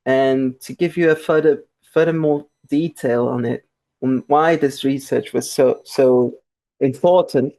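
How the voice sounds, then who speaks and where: A man's voice: medium pitch, steady tone, a little slow, a little mellow. A young man from Brazil with a Brazilian Portuguese accent, answering interview questions in a conversational way with frequent restarts and fillers, speaking from home on a remote call. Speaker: guest researcher.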